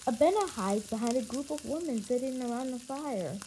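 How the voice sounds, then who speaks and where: A woman reading a children's audiobook in an expressive, animated storytelling manner. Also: a little high, a little fast, a little hiss or hum, rough recording. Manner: animated storytelling with acted character voices. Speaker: audiobook narrator.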